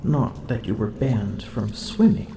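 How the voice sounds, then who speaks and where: A woman's voice: very deep voice, gravelly, mellow, reverberant, slight background noise, exaggerated voice. A woman voicing a proud, smug female dragon in human form, haughty and teasing, in an ASMR roleplay. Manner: haughty, teasing, slow and deliberate.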